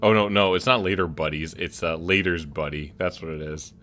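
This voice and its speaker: A man's voice: low voice, fairly even intonation, a little fast, fairly bright tone, soft background music. A man hosting a casual gameplay video, musing aloud and chatting about what he sees. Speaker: gaming video host. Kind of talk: casual let's play commentary.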